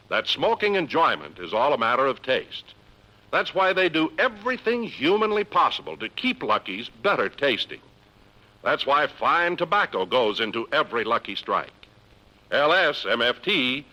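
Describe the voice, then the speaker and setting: A man's voice: fairly high pitch, sharp tone, low-quality recording. An American man announcing in 1950s radio advertising style with emphatic, polished salesmanship.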